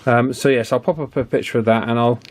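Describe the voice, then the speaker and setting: A man's voice: medium pitch, fairly even intonation, quick pace, a little mellow, slight background noise. A British man giving casual, hands-on repair commentary, thinking aloud in a matter-of-fact way as he presents. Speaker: radio repair hobbyist presenter.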